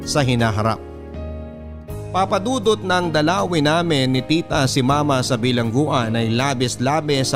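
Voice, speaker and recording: Male radio storyteller over prominent music. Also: mid-range voice. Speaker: radio storyteller reading listener's letter. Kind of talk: scripted first-person story narration.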